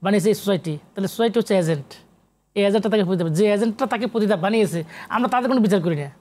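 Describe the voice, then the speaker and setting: A man's voice: high voice, rapid-fire, mellow. A Bangladeshi man speaking as an interview guest, a professor explaining emphatically in a lecturing way and mixing in English academic terms. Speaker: guest, professor and literary researcher. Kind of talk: animated TV interview discussion.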